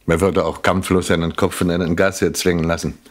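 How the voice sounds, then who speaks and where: A very low-pitched male police inspector in a German radio play. He is courteous and measured, with a dry, probing manner that insinuates more than it states. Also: level tone. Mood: dry, polite. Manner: measured, polite, drily insinuating. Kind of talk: German radio crime drama acting.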